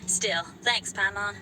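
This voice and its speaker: A woman's voice: mid-range voice, close to an everyday voice, rough recording. An actress playing a cheeky, laid-back young woman rocker who talks casually and teases. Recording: game cutscene with music behind.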